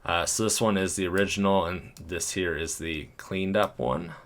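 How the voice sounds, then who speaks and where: A man with a deep, low-set voice talks through a software tutorial in a loose, chatty way. He thinks aloud and chuckles often. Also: fairly even intonation, moderate pace. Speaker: video creator.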